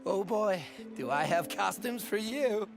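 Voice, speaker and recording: An actor playing a flamboyant, excitable and fussy village tailor in a comic animated game. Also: male voice, high voice, a little slow, vocal fry, over music, full character voice. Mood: gushing. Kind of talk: animated game comedy acting.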